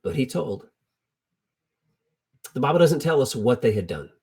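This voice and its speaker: A middle-aged man with a husky, slightly rough voice at a medium pitch preaches informally. He tells Bible stories in plain, colloquial language and repeats key phrases to drive them home. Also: very animated, normal speed, a little mellow. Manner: conversational, colloquial, with emphatic repetition. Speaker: preacher teaching a Bible lesson. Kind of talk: informal sermon, storytelling Bible teaching.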